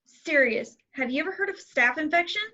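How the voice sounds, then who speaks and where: Woman voicing a nervous germaphobe boy, very high, bright, worried, dull lo-fi sound. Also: expressive, fairly quick. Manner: worried and whiny. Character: anxious germ-fearing boy, fussy and nervous. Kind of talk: table read over a call.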